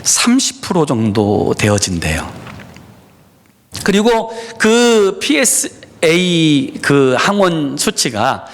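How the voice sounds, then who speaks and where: A middle-aged male pastor gives a Sunday sermon in his church. He speaks to the congregation in an earnest, personal way and often pauses on "uh". His pitch moves up and down a lot as he talks. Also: sing-song. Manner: frequent "에" fillers, personal and earnest, addresses congregation. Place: church sanctuary.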